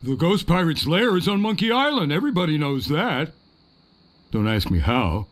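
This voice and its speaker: A genial old pirate from a video game. He is chatty and slippery, with a slightly high, animated voice that fusses like an adviser. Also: moderate pace. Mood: helpful. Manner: chatty, evasive, fussing. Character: genial, cowardly old pirate giving advice. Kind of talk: video game character acting.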